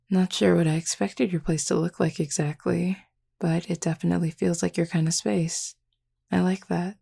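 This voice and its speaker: A confident, flirty werewolf woman acted by a woman for an intimate audio roleplay. Her voice is on the low side and strongly gravelly, with very little melodic movement. She teases smugly and takes her time. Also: dark tone. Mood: playful, flirty. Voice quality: husky, gravelly. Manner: teasing, unhurried, smug. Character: flirty, teasing, confident adult female werewolf pack member.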